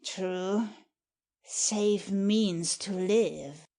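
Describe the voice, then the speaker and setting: A woman's voice: medium pitch, extremely slow, mellow. A woman playing a mocking, cynical nobleman who makes dry asides in a Shakespeare audiobook. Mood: sardonic. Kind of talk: dramatic Shakespeare audiobook reading.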